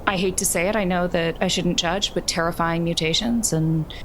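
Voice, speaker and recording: A woman's voice: steady tone, normal speed, low-quality recording. A woman chatting casually as a player in a tabletop game, wordy and wry. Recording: noticeable low ambient rumble.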